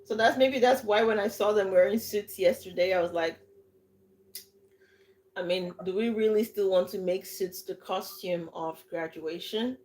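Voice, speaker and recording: A woman's voice: mid-range voice, moderate pace. A woman from Nigeria with a Nigerian accent, hosting a livestream and giving casual, emphatic advice that often repeats itself. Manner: lively, emphatic, repeats herself. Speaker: livestream host and coach.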